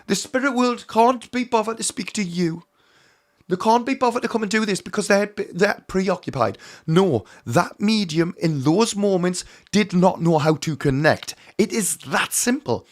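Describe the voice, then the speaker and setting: Hoarse, earnest male spiritual teacher. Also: high-pitched, lively intonation, normal speed. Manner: earnest and emphatic, addresses viewers as friends. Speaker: mediumship teacher. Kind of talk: direct-to-camera teaching talk.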